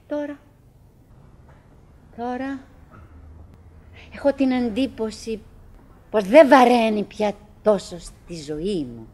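An actress playing a chatty middle-aged wife in a theatrical radio drama monologue, halting and musing, by turns coaxing and murmuring, wistful, fretful and forcedly cheerful. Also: female voice, fairly high pitch. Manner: halting, musing, self-interrupting, swinging between coaxing and murmuring.

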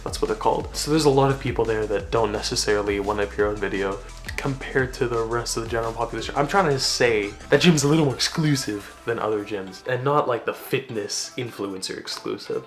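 A young man vlogging casually, rambling and swearing, getting loud and excited at times. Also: mid-range voice. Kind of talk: casual gym vlog talk. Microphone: camera mic.

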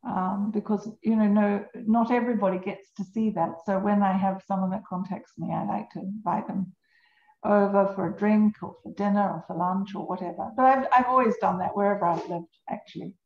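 A woman's voice: mid-range voice, fairly even intonation, fairly quick. An older woman from New Zealand telling her own story as an interview guest in conversation. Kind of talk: unscripted personal storytelling in conversation. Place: home on a canal in Venice. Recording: echoey room, slightly dull sound.

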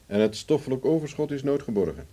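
Actor as animated, probing male investigator. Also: medium pitch, natural voice. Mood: questioning. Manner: probing, deliberate, insistent questioning. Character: probing, worldly male investigator, blunt and insistent. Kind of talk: Dutch radio drama acting.

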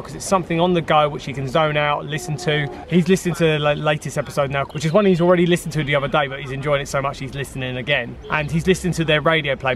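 A British man in his thirties presenting a casual vlog in an upbeat, chatty way. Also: on the high side. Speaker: vlog host. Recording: faint urban background noise.

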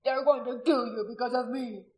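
A woman's voice: fairly high pitch, slow, pushed and bright. A woman narrator playing an anguished warrior husband in an audiobook, tearful, intense and defiant. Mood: weeping remorse.

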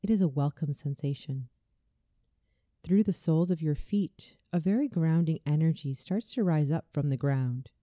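A woman reading a scripted guided visualization in a soothing, deliberate way, as a meditation narrator. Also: deep voice, animated, warm tone, no echo, studio quality. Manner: soothing, slow, deliberate delivery.

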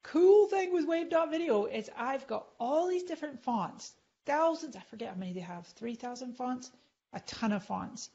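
A woman's voice: on the high side, darkish tone, highs cut off. A middle-aged woman leading a chatty live tutorial on screen, clicking through and explaining as she goes. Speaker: social media marketing trainer.

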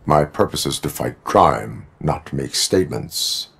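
A man playing a stoic cyborg policeman in a video game, speaking in a clipped, formal, deadpan way. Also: extremely low pitch, measured pace, vocal fry, heavily acted. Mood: formal, dutiful. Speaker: game character.

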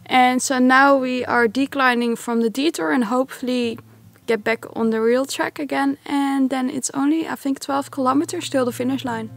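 A woman's voice: high voice, fairly even intonation, moderate pace, vocal fry. A cheerful young woman vlogging a long hike, chatting on the trail and narrating. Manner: cheerful, enthusiastic. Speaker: hiking vlogger. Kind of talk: travel vlog narration and trail chat. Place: outdoors on a forest trail.

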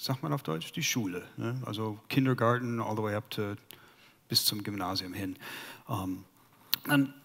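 Man in his fifties, American accent, non-native German, halting, many fillers, headset mic, big hall with long reverb. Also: medium pitch, a bit bright. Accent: American English. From United States. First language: English. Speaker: conference speaker. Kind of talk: presenting to a conference audience. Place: large conference hall.

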